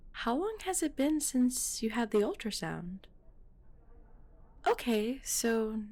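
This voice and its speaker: A woman's voice: on the high side, a little mellow. A woman playing a friendly, professional midwife in an audio roleplay, chatty and politely explaining things.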